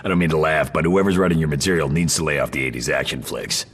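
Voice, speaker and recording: An actor playing a gruff, sarcastic, wisecracking action hero in a video game. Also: male voice, extremely low pitch, quick pace, a bit bright, full character voice. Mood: wry amusement.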